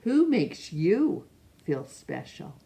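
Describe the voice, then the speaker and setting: Slow, expressive woman reading to children. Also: fairly low pitch, vocal fry. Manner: expressive, poses questions to young listeners. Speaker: storytime reader. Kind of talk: reading a picture book aloud to children.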